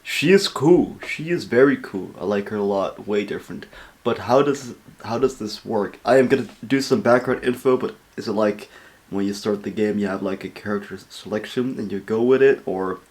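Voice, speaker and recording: A man's voice: lowish voice, moderate pace, a little mellow. A man hosting a reaction video, casual, blunt and chatty, with some swearing. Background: game music.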